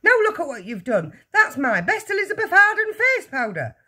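A woman voicing a scornful, bossy middle-aged mother in a children's storybook, shrill and scolding. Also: big pitch swings, normal speed, fairly bright tone, husky. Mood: shrieking, outraged.

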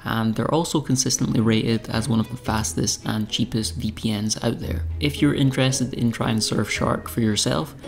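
A man's voice: medium pitch, a little mellow. A young man narrating a scripted video tour, with dry, wry humor. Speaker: YouTube channel host. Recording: voice-over with faint background music.

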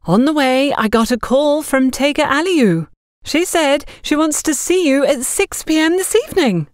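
A woman voicing a friendly, excitable young married neighbour in a chatty manner for an audio story. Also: high-pitched, moderate pace, no echo, clean studio sound, voice pushed up. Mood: excited, cheerful.

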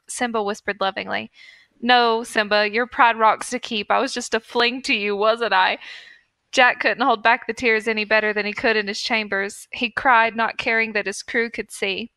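A woman's voice: medium pitch, sharp tone, smooth, dry recording. A woman podcast host reading fan fiction aloud in a mock-earnest storytelling manner.

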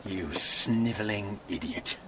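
An actor playing an urbane, cunning criminal in a radio drama, with a suave, mocking, theatrical manner. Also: male voice, lowish voice, slow pace, background noise. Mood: cold fury. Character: urbane, smug, cunning criminal mastermind, a man.

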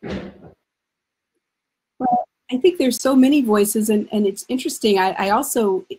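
A woman's voice: a little high, slow, dark tone, husky. A middle-aged woman scholar explaining her views in a panel discussion over a video call. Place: indoor room at home. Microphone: video call, computer microphone.

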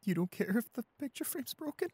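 A man acts out a shy, clumsy young boyfriend in an intimate audio roleplay. His voice is mellow and soft, recorded close and dry. He is anxious and devoted, hesitating and stammering as he speaks. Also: very high-pitched, very animated, fairly quick, husky, no echo, full character voice. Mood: apologetic, uncertain. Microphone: close studio condenser microphone.

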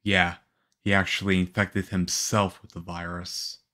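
A man playing a calm, reassuring young government agent in an ASMR roleplay, speaking softly. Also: low-pitched. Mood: reflective. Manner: soft-spoken, unhurried, reassuring.

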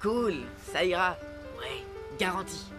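A man's voice: high voice, animated, slow, over music. A man voicing a cocky, reassuring sixteen-year-old boy in a French cartoon dub, breezy and slangy. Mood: breezy, confident.

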